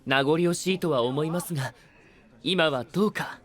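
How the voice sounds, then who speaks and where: A man playing a polite, composed, considerate young gentleman in a Japanese anime audio drama, speaking formally. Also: a little high, normal speed, close to an everyday voice. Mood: gently concerned. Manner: formal, courteous.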